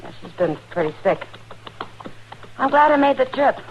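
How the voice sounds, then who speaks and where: A woman's voice: high-pitched, sing-song, moderate pace. An actress playing a plucky frontier woman in a 1950s western radio drama, speaking in a natural, intimate way. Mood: fond, teasing. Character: warm, plucky frontier woman, marshal's close friend. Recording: dull old radio recording, faint noise.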